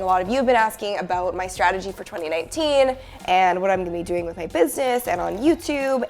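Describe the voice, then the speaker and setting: A woman in her thirties, her voice hoarse and a bit rough from a cold, chats brightly to her viewers. She speaks at a middling pitch, upbeat and direct, like a creator catching up with her audience from home. A soft bed of music sits faintly behind her. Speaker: YouTuber and business coach. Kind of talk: casual talking-head vlog.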